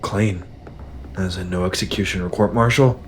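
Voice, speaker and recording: A low male voice with little pitch movement acted by a man, a weary soldier in a science-fiction audio drama. He is guarded and terse, speaking in a subdued way. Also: fairly even intonation, normal speed, slight background noise, close to an everyday voice. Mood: respectful, uncertain.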